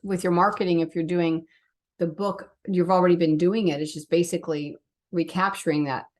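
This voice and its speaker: A woman's voice: on the low side, steady tone, a little fast. A woman hosting a podcast as a novelist, talking casually and chattily in a conversational interview.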